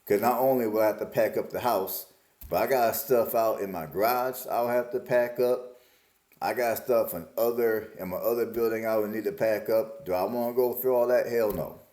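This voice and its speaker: Reflective man in his forties, lowish voice. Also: moderate pace, a little mellow, echoey room. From Alabama, US. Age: 40s. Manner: musing, repetitive, plain-spoken. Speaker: vlogger. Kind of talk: casual reflective monologue.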